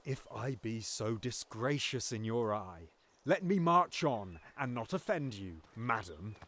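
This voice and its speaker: A man's voice: medium pitch, sing-song, measured pace, fairly bright tone. An actor playing a ruthless usurping king who commands and persuades coldly in verse. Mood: curt. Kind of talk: Shakespearean audio drama.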